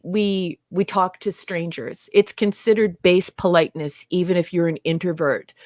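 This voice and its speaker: A woman's voice: lowish voice, no room sound, studio quality. A woman from Canada with a Canadian accent, co-hosting a casual livestream chat, reading out viewer comments and laughing often. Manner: chatty, laughs often, reads chat aloud. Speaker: co-host.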